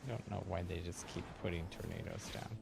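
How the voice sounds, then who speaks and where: A man's voice: low-pitched, a little fast, gravelly. A man casually commentating on his gameplay as a streamer, with playful mock exasperation. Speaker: game streamer. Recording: loud game music behind voice. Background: loud game music.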